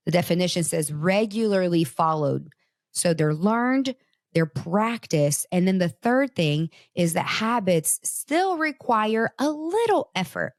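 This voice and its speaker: A woman's voice: fairly low pitch, no room sound, studio quality. A woman from Brazil whose first language is Portuguese, hosting a casual, motivational talk and speaking straight to her listeners. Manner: animated, conversational, talks straight to listeners. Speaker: host.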